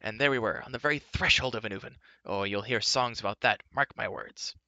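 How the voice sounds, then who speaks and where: A man voicing an excitable, boastful male bard who talks effusively. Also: on the low side, a little fast, no echo, dull audio. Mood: excited.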